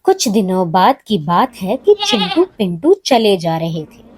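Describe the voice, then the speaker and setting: A woman narrating a scripted children's story in an expressive, measured way. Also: medium pitch, background noise.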